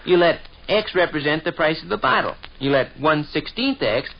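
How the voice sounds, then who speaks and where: An actor playing an earnest, patient American schoolboy nephew in a chummy 1940s radio comedy style. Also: male voice, fairly high pitch, animated, moderate pace, creaky. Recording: dull vintage 1940s radio recording.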